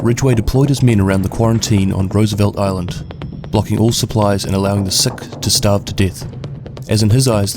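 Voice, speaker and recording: A man with a New Zealand accent delivering scripted narration as a video host. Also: fairly low pitch. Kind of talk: scripted narration of game lore. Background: prominent background music.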